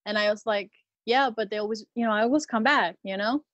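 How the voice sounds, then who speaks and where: A woman's voice: medium pitch, fast talker, fairly bright tone, dry recording, muffled recording. A woman in her thirties from Peru, a Marine veteran guest talking casually with lots of hesitant ums and restarts. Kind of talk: casual interview conversation.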